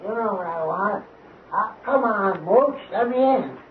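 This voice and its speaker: An actor playing a shrewd, impatient coin dealer in a radio drama, needling and dryly sarcastic. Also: male voice, sits high, lively intonation, measured pace, phone-quality sound. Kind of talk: 1970s radio drama acting. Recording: band-limited, slightly noisy old radio broadcast.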